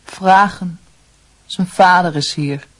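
An actress playing a solemn, cryptic séance leader in a radio play, with a husky voice. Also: female voice, lowish voice, slow pace, rough recording. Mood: solemn, insistent.

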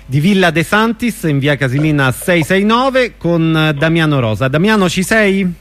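A man's voice: fairly high pitch, expressive, normal speed, fairly bright tone. A man, hosting a radio programme and chatting informally with a guest. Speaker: radio host. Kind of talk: friendly radio interviewing.

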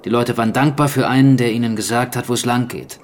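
An actor playing a grim island survivor in a radio drama, recounting catastrophe in terse phrases. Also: male voice, medium pitch, quick pace, slight background noise, lightly acted. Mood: bitter. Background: music.

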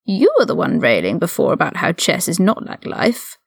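A woman voicing an older aristocratic male wizard prisoner, haughty and mocking, with measured, condescending, formal speech in an audiobook-style story reading. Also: on the low side, wildly swooping pitch, vocal fry, slightly rough, no echo, studio quality, heavily acted. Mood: intense, persuasive.

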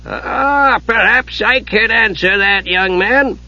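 A man's voice: high-pitched, takes it slowly, bright, forceful tone, dull audio, voice pushed up, full character voice. A man voicing a cranky, absent-minded old wizard who mutters and gets easily flustered in a fantasy audiobook. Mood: musing.

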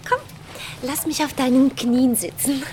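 A woman's voice: high voice, steady tone, unhurried, a little mellow, a little hiss or hum. An actress playing a pastor's affectionate, jealous, needy wife in a radio play, coaxing and probing as she talks. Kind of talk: naturalistic German radio play acting. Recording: music behind the voice.

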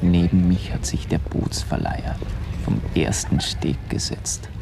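A man in a literary radio play, playing a brooding observer who lists what is around him in halting fragments. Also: low-pitched, sing-song, heavily acted. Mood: observing. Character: male observer beside a lake, slow, brooding, dwelling on details. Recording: clear outdoor ambient noise behind voice.

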